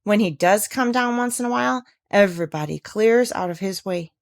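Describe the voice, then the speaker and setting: A woman's voice: medium pitch, normal speed, dry recording, close to an everyday voice. A woman voicing a talkative, inquisitive village woman from a storybook, speaking in an emphatic, gossipy way. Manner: exclamatory, emphatic, gossipy questioning. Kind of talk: storybook character reading.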